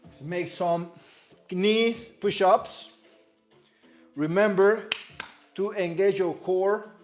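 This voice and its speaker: A Cuban-born man with a Spanish accent, a native Spanish speaker, leading a workout in a halting, self-correcting, encouraging way. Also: high voice. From Cuba. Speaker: fitness coach. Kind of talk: workout instruction. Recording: echoey room with music behind.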